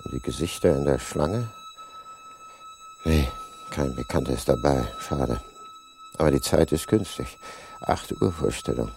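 A coldly calculating killer with polite manners. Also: male voice, lowish voice, wildly swooping pitch, measured pace, long pauses, darkish tone, faint music behind, exaggerated voice. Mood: brooding. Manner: muttering inner monologue, then courteous small talk. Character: calculating middle-aged male murderer, outwardly polite. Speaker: radio play actor. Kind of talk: 1980s radio drama acting.

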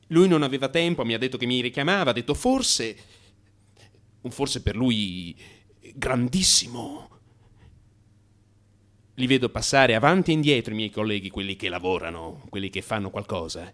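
A man acting an anxious, defensive, sleepless office worker in a theatrical radio monologue, agitated and halting as he justifies himself. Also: mid-range voice, lightly acted. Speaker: actor.

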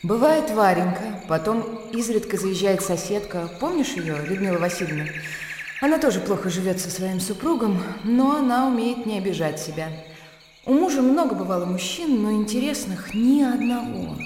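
In a reverberant hall with clear background noise, an actress plays a weary widow in a radio drama. She is cool and gossipy, full of self-pity, and phrases things plaintively, like a book. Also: medium pitch, expressive, normal speed, darkish tone, natural voice. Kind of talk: radio play acting.

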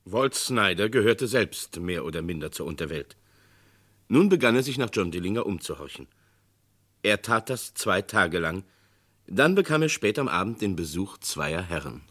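Low-voiced male drama narrator. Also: big pitch swings, fairly bright tone. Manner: measured, documentary. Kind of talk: scripted radio drama narration.

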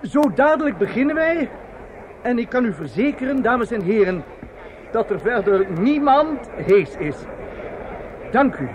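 Flustered, pompous impresario, swooping high. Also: male voice, very animated, unhurried, long pauses, slight background noise, heavily acted, poor audio. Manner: hesitant and muttering offstage, pompous in speeches. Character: flustered, self-satisfied male opera impresario. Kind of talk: radio drama acting.

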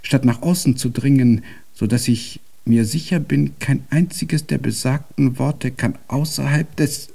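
An actor playing a deaf, cantankerous old composer in a German radio drama, speaking in an ornate, pompous and convoluted way. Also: male voice, mid-range voice, a little slow, vocal fry, hardly any pauses, warm tone. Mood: brooding, intense.

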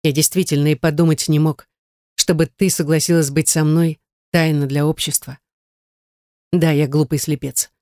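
Woman reading as a low, grave, commanding man. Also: steady tone, normal speed, a little mellow, dry recording, studio quality, natural voice. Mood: earnest. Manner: serious, muted, commanding. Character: stern, commanding man in love, grave and persuasive.

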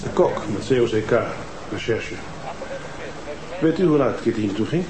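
A man playing a calm probing police detective. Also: medium pitch, normal speed, crowd noise, close to an everyday voice. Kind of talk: TV crime drama acting. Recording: TV sound with room echo and background noise.

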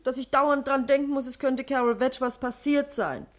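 A woman's voice: sits high, moderate pace, natural voice. An actress playing a witty, teasing girlfriend of an amateur sleuth in a 1960s German radio crime drama, playful and ironic. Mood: lightly ironic.

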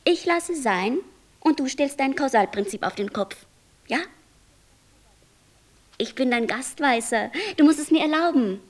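Curious angel in a radio play, really high voice, naive but insistent. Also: female voice, animated, a little slow, long pauses. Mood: pleading, insistent. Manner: questioning, insistent. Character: curious, persistent angel guest, naively questioning. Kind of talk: poetic radio play dialogue.

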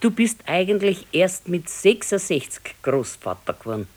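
A woman's voice: on the low side, big pitch swings, moderate pace, a little mellow. An actress playing an old grandmother and grumbling, practical wife in a radio play, speaking tersely and dryly with a Bavarian accent. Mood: matter-of-fact. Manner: terse, matter-of-fact, dryly contradicting. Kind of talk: naturalistic radio play dialogue.

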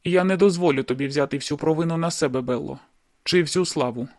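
A man's voice: fairly high pitch, a little fast, a little mellow, no room sound, clean studio sound, close to an everyday voice. A man voicing a hurt, bitter young man in an audiobook, who speaks bluntly and wearily. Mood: resolute. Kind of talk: audiobook dialogue.

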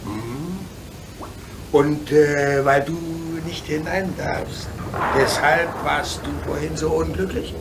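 A man playing an easygoing, reassuring adult locomotive driver in a children's radio play. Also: a little high. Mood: curious, helpful. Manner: easygoing, avuncular, hesitant pauses. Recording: noisy background with hiss.

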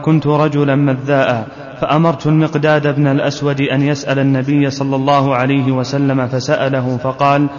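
A man reading a religious text aloud in a formal, measured way. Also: medium pitch, little pitch movement. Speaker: student reader. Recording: dull lo-fi sound, long hall reverb.

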